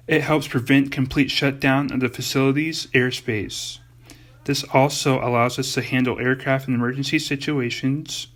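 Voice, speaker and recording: A man's voice: medium pitch, fairly bright tone, close to an everyday voice. A young man playing an air traffic controller who gives scripted technical explanations in an amateur comedy skit. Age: young adult.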